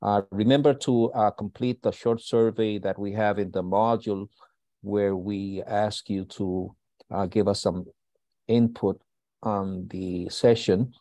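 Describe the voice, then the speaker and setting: Low mellow-voiced man making announcements. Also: fairly even intonation, dry recording. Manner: halting, with many uh fillers. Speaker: host and school founder. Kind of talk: housekeeping announcements. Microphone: video call.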